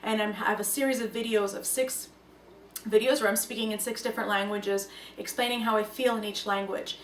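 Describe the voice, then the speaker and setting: A woman's voice: mid-range voice, a little fast, recorded from a distance. A young woman whose first language is Russian, giving an earnest and reflective talk to camera. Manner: earnest, reflective, lively emphasis. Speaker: language learning speaker. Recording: echoey room, voice from off the mic, faint room noise.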